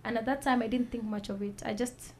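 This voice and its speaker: A woman telling her own story as a talk-show guest, soft-spoken and measured, pausing with fillers. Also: on the high side, fairly even intonation, slight background noise. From Kenya. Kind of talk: personal testimony in a talk show.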